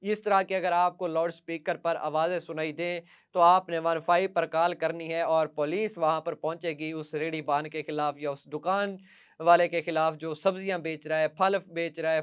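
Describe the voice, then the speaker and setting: A man's voice: fairly high pitch, smooth, lo-fi sound. A man with a Pakistani accent presenting news commentary straight to viewers, with emphatic, repetitive listing.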